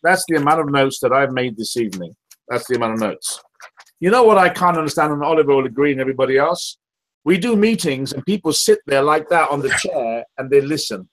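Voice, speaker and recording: A man with a loud, high-pitched voice leads a team call. He hammers his points with repetition and rhetorical questions. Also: rough recording. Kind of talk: motivational sales call. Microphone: call audio.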